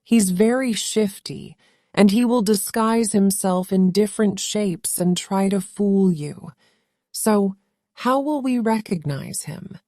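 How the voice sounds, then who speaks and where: A woman as a gentle mother goat. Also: mid-range voice, slow pace, no echo, studio quality, lightly acted. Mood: caring. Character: loving protective mother goat.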